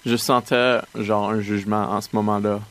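Casting: male interviewee, slightly low voice, Franco-Manitoban accent, halting storytelling. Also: flat delivery, a bit bright. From Manitoba, Canada. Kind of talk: hesitant personal anecdote.

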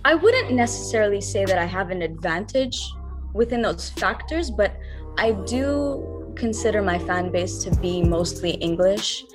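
A woman's voice: mid-range voice, lively intonation, measured pace, music in the background. A young woman, an R&B singer-songwriter, answering interview questions in a thoughtful, earnest way, with many fillers and restarts.

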